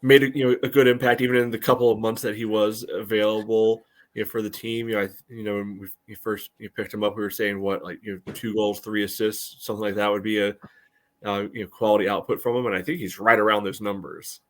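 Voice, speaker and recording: A man's voice: on the low side, flat delivery, fast. A man co-hosting a sports podcast, giving casual analysis in a hesitant, loosely phrased way full of "you know".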